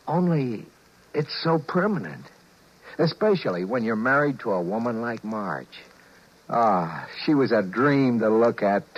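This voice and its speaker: A wry, henpecked husband of 1950s radio drama. He is colloquial and fed up, and his pitch moves freely. The sound is a muffled old broadcast recording. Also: husky. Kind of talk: 1950s radio drama acting with first-person narration.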